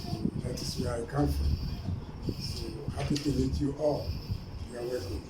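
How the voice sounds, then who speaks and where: A man's voice: medium pitch, fairly even intonation, a little slow. A man from eastern Nigeria with an Igbo Nigerian accent explains things as an interview guest, using repetitive, emphatic phrasing. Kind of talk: informal explanatory interview answers. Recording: roomy, distant, clearly noisy recording.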